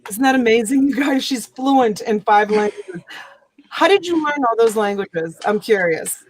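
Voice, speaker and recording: A woman hosts a casual live chat with a fairly high voice. She talks in a loose, chatty way, full of fillers and restarts. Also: animated, normal speed, low-quality recording. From Italy. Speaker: livestream host.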